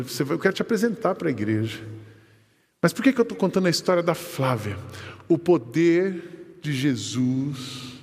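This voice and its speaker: A man's voice: fairly high pitch, husky. A Brazilian man preaching a sermon as pastor, telling a story and quoting the people in it. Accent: Brazilian Portuguese. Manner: lively storytelling, quoting others in conversation. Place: church hall. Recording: long church hall reverb.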